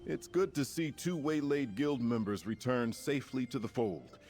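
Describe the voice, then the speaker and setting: An actor playing a resolute, formal male commander in a fantasy video game, speaking in a measured, stately way over music. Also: mid-range voice, darkish tone, lightly acted. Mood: formal resolve. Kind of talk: scripted game dialogue.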